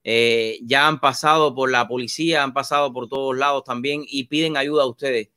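A man's voice: mid-range voice, fairly even intonation, normal speed, pushed and bright, clear, resonant tone. A man hosting an interview, asking casual, prompting questions. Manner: prompting, conversational. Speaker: interview host.

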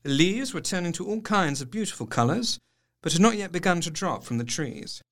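An actor playing an earnest, educated Victorian doctor, narrating his diary in a formal, measured way for an audio drama. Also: sits high, very animated, fairly bright tone. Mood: calm.

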